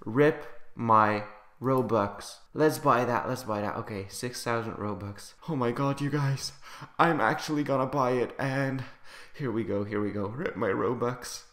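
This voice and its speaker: A man casually commenting on a building game update, chatty and excitable, his voice slightly raspy from the early hour. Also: medium pitch, a little slow, echoey room. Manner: excitable, chatty, laughs often. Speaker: gaming YouTuber.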